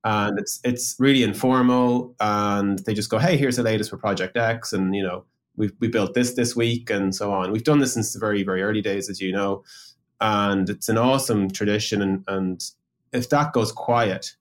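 Man with a slightly low voice, tech executive on a business podcast, chatty and informal, explains through examples. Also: fairly even intonation, normal speed. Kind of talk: conversational business discussion.

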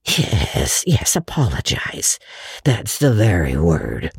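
A woman playing a shy, kindly older farm man in a storybook reading, hesitant, hushed and repeating himself. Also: a bass voice, wildly swooping pitch, takes it slowly, gravelly, slightly rough, no room sound, clean studio sound, pitched below the performer's own voice, full character voice. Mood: eager, hushed.

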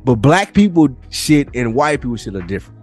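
A man's voice: mid-range voice, sing-song, brisk, soft background music. A man co-hosting a podcast in casual conversation, slangy and profane, giving his opinions freely. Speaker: podcast co-host.

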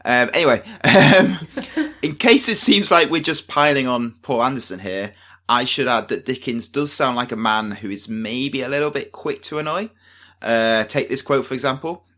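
A man with a Manchester accent chats as a podcast co-host, his voice bright and forward. He rambles and restarts often, and he pokes fun at himself. He also throws in wry asides. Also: medium pitch, normal speed. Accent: Manchester English. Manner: self-deprecating, rambling with restarts and laughs. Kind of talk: casual chat.

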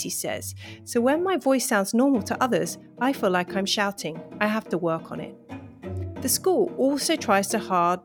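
A woman lecturer who reads a passage aloud, then answers interview questions in a thoughtful, hesitant way with many fillers and restarts. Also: medium pitch. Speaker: university lecturer, interview guest.

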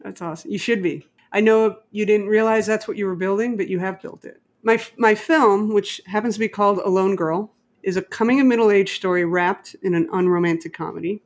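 A woman's voice: mid-range voice, steady tone, brisk. A middle-aged woman with an American accent, talking conversationally and emphatically, telling stories and giving opinions. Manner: emphatic, opinionated, quotes others in dialogue. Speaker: independent filmmaker and interviewee. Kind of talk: animated conversational storytelling.

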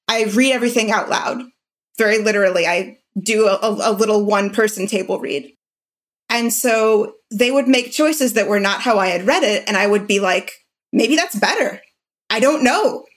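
A woman telling anecdotes in a casual interview, with a candid, self-deprecating manner. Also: mid-range voice. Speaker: podcast writer being interviewed.

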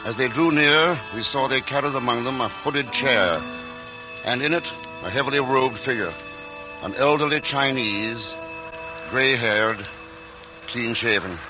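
An actor playing a composed male traveler who narrates his journey in a 1940s radio drama, measured and reflective. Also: medium pitch, lightly acted, rough recording. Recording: old radio broadcast with music.

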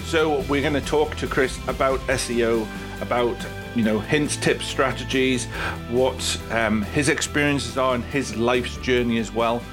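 A man's voice: medium pitch, unhurried, over music. A man hosting a business podcast as a performance coach, reading a polished intro and then chatting with his guest in an upbeat way. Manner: upbeat, polished greeting, warm encouragement. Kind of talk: scripted intro then casual interview.

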